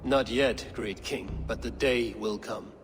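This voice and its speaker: An actor playing a bold, defiant Norse warrior in a video game, speaking in a measured, sardonic way. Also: male voice, mid-range voice, natural voice. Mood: challenging. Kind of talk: dramatic video game acting. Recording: noisy, long hall reverb.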